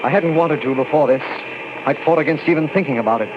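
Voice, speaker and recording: A man's voice: on the high side, quick pace, phone-quality sound, noisy background, close to an everyday voice. An actor playing a shaken young army lieutenant, telling his story in tense first-person narration on an old radio drama recording. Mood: uneasy.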